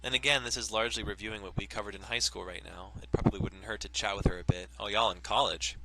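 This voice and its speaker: A man's voice: lowish voice, fairly even intonation, fast talker, heavy background noise. A man hosting a let's play, reading game text aloud and cracking crude jokes between lines. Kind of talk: casual gameplay commentary and reading aloud.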